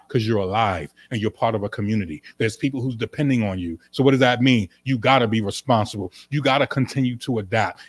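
A middle-aged man, a pastor, teaching from the Bible in an emphatic sermon style, repeating his points with animated gestures, recorded in a small indoor studio on a desk stand mic. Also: medium pitch. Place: small indoor studio room with couch and curtain. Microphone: desk stand microphone.

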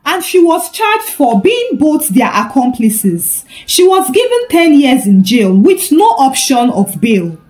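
A woman narrates a scripted story in a high voice. Her intonation is very lively, moving widely up and down as she tells it. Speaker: storyteller and channel host.

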